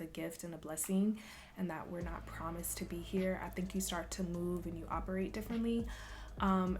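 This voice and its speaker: A young woman from Louisville, Kentucky, chatting casually in a Q&A vlog, soft-spoken and relaxed with frequent ums. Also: fairly low pitch. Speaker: vlogger. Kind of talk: casual Q&A chat.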